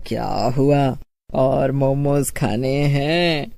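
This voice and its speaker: A woman voicing a menacing witch food seller with a slow, taunting, sinister way of talking. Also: very deep voice, vocal fry, mellow, dry recording, heavily acted.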